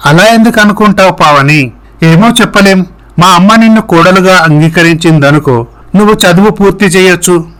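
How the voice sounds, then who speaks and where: An earnest young man in love voiced by a male storyteller, pleading and reassuring in a moral tale. His voice is slightly high for a man. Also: moderate pace, a bit bright, low-quality recording.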